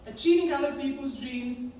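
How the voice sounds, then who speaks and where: High-voiced woman in echoey hall. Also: mellow. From Bulgaria. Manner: earnest and confessional, slowing down on key words. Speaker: conference speaker. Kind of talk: personal story talk on stage. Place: large conference hall stage. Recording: very echoey hall, distant mic, faint noise.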